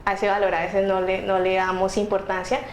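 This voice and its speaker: A woman's voice: mid-range voice, completely flat delivery, brisk, a little hiss or hum. A woman with a central Colombian accent from around Bogotá, answering as an interview guest in an earnest, conversational way. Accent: Colombian, central Andean around Bogotá. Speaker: interview guest speaking about faith. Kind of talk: casual conversational interview, personal explanation. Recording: roomy echo with music behind.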